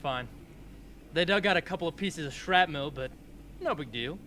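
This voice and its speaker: Man as bright-voiced blunt young male fighter. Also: fairly high pitch, lively intonation, moderate pace, slight background noise. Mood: casual. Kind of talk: amateur radio drama acting.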